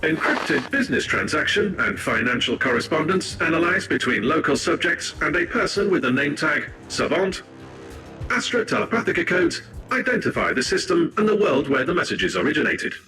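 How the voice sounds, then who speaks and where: An actor playing a pedantic half-machine tech-priest in a video game, reporting data in formal, clinical, clipped phrases. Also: male voice, a little high, very animated, normal speed, over music, low-quality recording. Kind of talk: video game cutscene acting.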